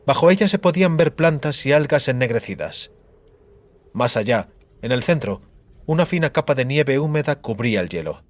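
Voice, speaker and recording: Lively male audiobook narrator. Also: medium pitch, normal speed. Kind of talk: dramatic scripted narration.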